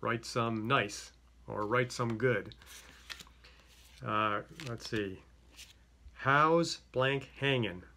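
Bright-voiced man with a slight Greek accent. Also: mid-range voice, very slow, pauses often, slight background noise. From Greek-speaking home. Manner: chatty, many fillers and restarts. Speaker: company head presenting a product. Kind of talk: casual product unboxing. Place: small office with a yellow wall.

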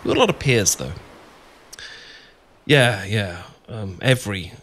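A man's voice: fairly low pitch, lively intonation, measured pace, fairly bright tone. A British man playing an adorkable, bashful young friend in an ASMR boyfriend roleplay, hesitant, stammering and rambling. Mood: relaxed, chatty. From Britain. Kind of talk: ASMR boyfriend roleplay, improvised-sounding casual chat. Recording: clear background noise with ambience.

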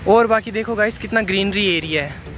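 A man's voice: high voice, fairly quick. A young man casually narrating a walking travel vlog through city streets and a market, talking to his viewers and often calling them "guys". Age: young adult. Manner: chatty, repeats "guys" and "chalo" often. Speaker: travel vlogger. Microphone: handheld phone camera at arm's length. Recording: outdoor phone audio with music under it.

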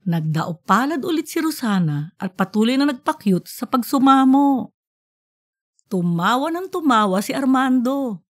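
A woman narrating an audiobook in an expressive storytelling style. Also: sits high, a little slow, no echo, studio quality.

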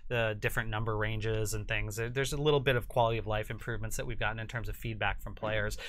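A man's voice: on the low side, fairly even intonation, fast, a bit bright. A man in his forties talking casually and explaining products as a guest on a livestream. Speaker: guest, game publisher. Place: small indoor studio set with sofa and curtains.

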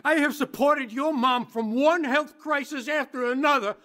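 A distraught middle-aged father in a radio drama, high-pitched for a man and shaken. He speaks in halting, broken phrases, stopping and restarting as the hurt catches him. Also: sharp tone. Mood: shaken, bitter. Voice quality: shaky, halting.

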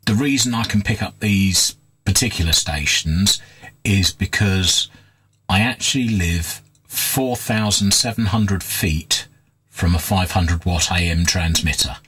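Middle aged guy, really low husky voice, casually explaining stuff as he shows it. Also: a little slow, a bit bright. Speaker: vlogger restoring vintage radios. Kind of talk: casual hands-on demonstration.

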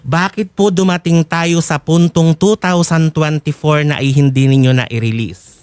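A man's voice: fairly high pitch, steady tone, moderate pace, a little mellow, clean tone, no echo. A man with a Filipino accent laying out grievances formally and insistently as a complainant. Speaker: complainant, factory worker. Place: broadcast studio, crowded with coworkers. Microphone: desk microphone.

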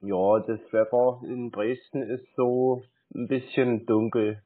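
Husky man playing naive on the phone. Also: mid-range voice, steady tone, slow. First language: German. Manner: playful, feigned naivety. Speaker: scambaiter taking calls. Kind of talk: casual phone chat. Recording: muffled narrow phone-like sound.

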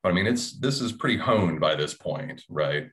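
A man's voice: low-pitched, lively intonation, moderate pace, gravelly, fairly bright tone. A middle-aged man talking candidly and conversationally as a panelist on a video call, often checking in with a question. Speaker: panelist, audio drama writer. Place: indoor room at home, video call.

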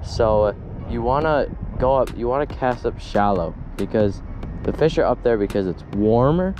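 A man's voice: medium pitch, animated, moderate pace. A young man chatting casually as he fishes, rambling and correcting himself as he talks into an action camera outdoors by a windy pond. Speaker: fishing vlogger. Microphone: action camera, head-mounted and handheld.

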